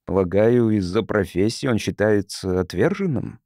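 A man voicing a calm, curious sociologist from Earth in an audiobook, measured and polite. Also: lowish voice, no room sound, clean studio sound, natural voice. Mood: thoughtful.

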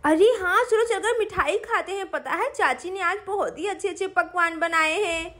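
A woman voicing an excited, chatty little girl hosting a party in a children's doll story. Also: extremely high pitch, moderate pace. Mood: eager, reassuring.

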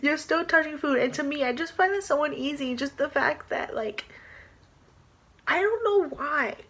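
A woman's voice: sits high, expressive, moderate pace, a bit bright. A young woman vlogging from her small bedroom into a built-in camera mic, indignant and rambling with lots of "like" as she talks. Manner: indignant, rambling, many filler "like"s, gestures a lot. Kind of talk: casual vlog rant to camera.

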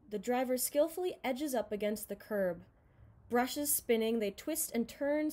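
A young woman reading a children's picture book aloud in an expressive, dramatized way, recorded in a room at home. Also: on the high side. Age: young adult. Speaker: storybook reader.

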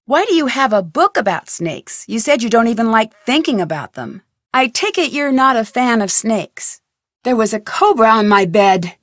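A woman's voice: on the high side, big pitch swings, moderate pace, a bit bright, no echo, clean studio sound. An actress voicing a curious, determined young female amateur detective in a video game, asking earnest questions. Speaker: game protagonist. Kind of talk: studio video game voice lines.

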